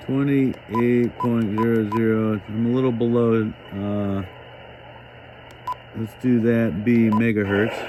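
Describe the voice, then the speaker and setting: Guy demoing gear casually, slow n halting, thinking out loud, noisy hissy background. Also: mid-range voice. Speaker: host demonstrating a shortwave radio. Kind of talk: casual hands-on demonstration, talking while working.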